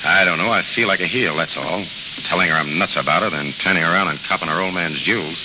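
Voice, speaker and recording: Man acting a low-voiced, slangy young crook. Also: fast, noisy background, close to an everyday voice. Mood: tough, irritable. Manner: slangy, clipped tough talk. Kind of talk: 1940s radio acting. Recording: dull old radio recording with loud music.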